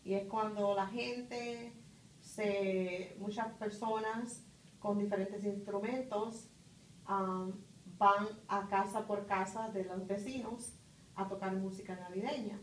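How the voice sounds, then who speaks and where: A woman's voice: mid-range voice, steady tone. Middle-aged woman interviewee, thoughtful, full of fillers; distant phone recording in a roomy office. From Puerto Rico. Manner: thoughtful, pauses with many "ah" and "este" fillers. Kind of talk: conversational interview answers. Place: office conference room. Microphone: far phone camera. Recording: roomy, distant, faint hiss.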